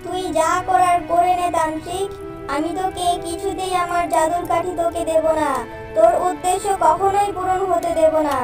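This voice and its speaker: Lady doing a little cartoon fairy, super high voice, earnest, echoey w/ music behind. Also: flat delivery, moderate pace, distant mic. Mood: defiant. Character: kind, grateful, defiant young female fairy. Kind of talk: children's cartoon voice acting.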